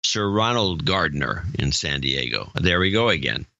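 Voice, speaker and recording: A man's voice: extremely low pitch, sing-song, vocal fry, sharp tone, dry recording, dull audio. A man co-hosting a podcast, reading a long list of donor names and joking in between, stumbling over how to say them. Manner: reads names haltingly, stumbles over pronunciations and jokes in between. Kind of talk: casual chat.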